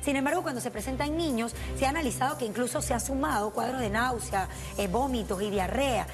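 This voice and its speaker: A woman's voice: on the high side, fast. Woman, young adult, host, poised long questions, music behind. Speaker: morning show host. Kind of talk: TV interview questions. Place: TV studio.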